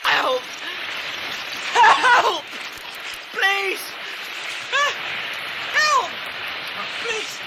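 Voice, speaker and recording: A man's voice: very high voice, big pitch swings, drawn-out words, long pauses, heavy background noise, full character voice. A man playing a terrified young spy in an amateur audio drama, pleading and gasping in panic. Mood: panicked.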